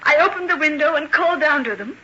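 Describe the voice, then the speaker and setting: High, frantic young woman. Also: animated, sharp tone. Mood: horrified, haunted. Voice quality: high, tremulous voice. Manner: anguished, breathless, halting dramatic delivery. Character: terrified, frantic young woman pleading she is sane. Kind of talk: old-time radio melodrama acting. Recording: muffled, noisy vintage radio recording.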